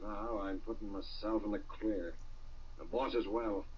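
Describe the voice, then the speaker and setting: A man playing a terse, commanding crime fighter in a 1940s-style radio drama, slightly husky, on a muffled old recording. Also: on the low side, fairly even intonation, a little slow, far from the mic, a little hiss or hum, natural voice. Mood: cold, threatening.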